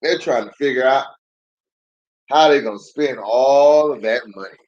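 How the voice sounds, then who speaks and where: A man speaking as a pastor hosting a children's storytime, unhurried and animated, chatting with viewers and drawing out words for emphasis. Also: mid-range voice, dull audio. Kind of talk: livestream greeting and read-aloud storytelling.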